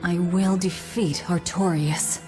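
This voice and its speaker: A woman's voice: on the low side, steady tone, takes it slowly, over music. An actress playing a grimly resolute, dry-witted young woman bent on vengeance in a video game. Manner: blunt, determined, dry. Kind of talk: English-dubbed video game cutscene acting.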